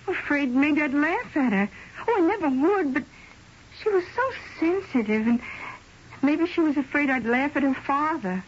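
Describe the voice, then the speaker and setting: A woman's voice: high voice, lively intonation, moderate pace, slight background noise. An actress playing a guileless young woman who talks in a casual, hesitant, girlish way in an old-time radio drama. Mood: uneasy, earnest. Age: young adult. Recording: dull, tinny old radio recording.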